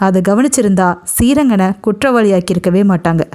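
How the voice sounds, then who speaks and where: A woman's voice: mid-range voice, brisk, a little mellow. A woman telling a story as a narrator, in a dramatic spoken style.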